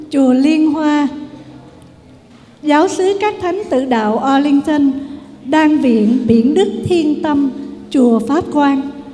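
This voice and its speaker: A very high-pitched woman reads names slowly and deliberately. Her tone is soft and mellow. A long reverb of a large hall trails after each word. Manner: slow, measured, announcing each name separately. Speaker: ceremony announcer. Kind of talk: formal reading of a list. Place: large indoor event hall.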